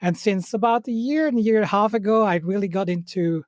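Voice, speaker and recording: A man's voice: high-pitched, fast, no echo, studio quality. A man from Belgium with a Belgian accent, a podcast guest chatting casually and laughing often. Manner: friendly, laughs often, uses many "like" fillers. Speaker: guest, Microsoft community lead. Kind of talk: casual interview chat.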